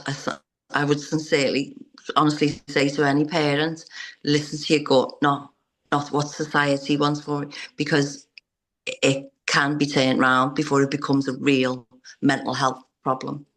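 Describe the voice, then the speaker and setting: Low-pitched woman guest, heartfelt and halting. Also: fairly bright tone. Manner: earnest, hesitant, many restarts. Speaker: interview guest, parent. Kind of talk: personal story in interview.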